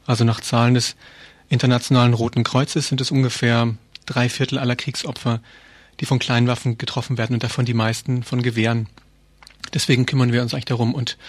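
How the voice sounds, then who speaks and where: Male interviewee, informal explainer, rapid and level in pitch, rephrases often. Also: breathy edge. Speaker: interviewee, arms-trade researcher and activist.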